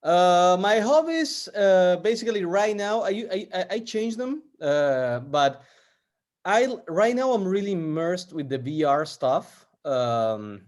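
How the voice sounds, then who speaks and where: A man's voice: high-pitched, animated, takes it slowly, darkish tone. A man from Argentina, speaking as an interview guest in a casual, hesitant way with many fillers and restarts. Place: based in the U.S.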